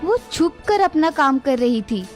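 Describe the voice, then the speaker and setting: A woman's voice: high voice, lively intonation, unhurried, faint music behind. A woman voicing a firm, cautious elder daughter-in-law in a cartoon family story. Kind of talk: Hindi cartoon story dialogue.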